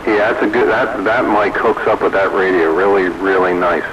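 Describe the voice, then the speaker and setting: A low-voiced man talks casually over a CB radio. Clear static and noise surround his transmission, as on a two-way set picked up over the air. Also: flat delivery, normal speed, muffled recording. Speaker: CB radio operator. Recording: noisy, staticky CB radio reception.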